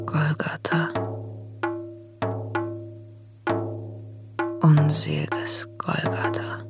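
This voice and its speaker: An actress voicing a mourning woman who recites solemn sacred verse in a radio drama, slow, grieving and hushed at phrase ends. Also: low voice, creaky, heavily acted. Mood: hushed grief. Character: mourning woman lamenting the crucified Christ.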